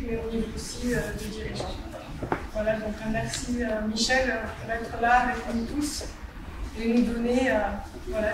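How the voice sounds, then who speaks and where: Woman, sports official, hesitant tribute with fillers; distant, very reverberant, noisy recording. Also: on the high side. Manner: hesitant, many fillers, heartfelt. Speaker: judo committee president. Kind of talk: personal tribute speech. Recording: echoey hall, distant mic, audible noise.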